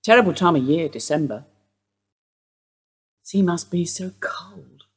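A woman's voice: on the low side, a little slow, breathy edge. A woman acting a wistful widowed housewife questioned by police in a radio play, rambling through memories. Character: widowed English housewife, Mrs Steele, wistful suspect.

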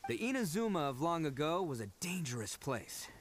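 An actor voicing a brash, proud, earnest young oni gang boss in an anime game, telling things colloquially with dramatic emphasis. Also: male voice, on the high side, very animated, fairly quick, slight background noise. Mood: storytelling, earnest. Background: game music.

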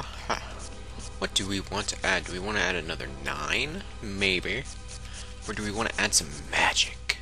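A man giving casual let's play commentary, muttering and thinking aloud. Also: mid-range voice. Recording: game music clearly behind.

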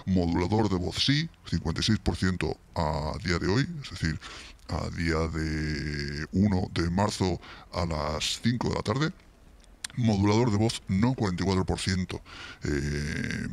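A man in his forties speaks European Spanish through a modulator that gives him a very deep voice. He hosts in a chatty, informal way, close to the microphone. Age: 40s. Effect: voice modulator deepening the voice. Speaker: YouTube host, football fan channel. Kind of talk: casual chat to camera. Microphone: close vintage-style studio microphone.

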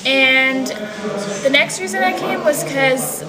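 High-voiced student, echoey busy room. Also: female voice, takes it slowly, over music. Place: indoors by large windows.

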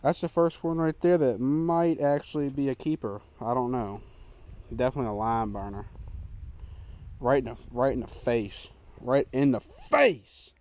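A man's voice: mid-range voice, normal speed, darkish tone. Laid-back guy fishing from a boat. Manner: laid-back, self-deprecating banter. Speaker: fishing vlogger. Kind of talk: casual outdoor fishing narration. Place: small boat on a lake, outdoors. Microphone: action camera mic.

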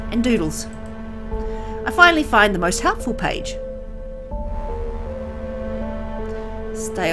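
A woman's voice: on the low side, lightly acted. Lady voicing tired young game heroine narrating her grim town, reflective, kinda chatty, music behind. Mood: musing. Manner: reflective, conversational storytelling. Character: weary, soft-hearted young woman narrating her bleak town. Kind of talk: scripted first-person visual novel narration. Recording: music under the voice. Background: background music.

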